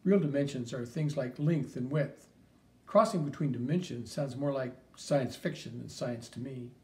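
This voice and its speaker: A man reading an audiobook, voicing a patient middle school chemistry teacher who gives calm, gentle advice. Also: medium pitch, normal speed, natural voice. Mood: lecturing.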